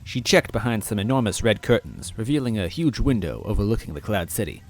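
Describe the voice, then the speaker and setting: A male story reader narrates in the first person with an animated delivery. His voice is bright and a little gravelly, with music playing underneath. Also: lowish voice, fairly quick, a little hiss or hum.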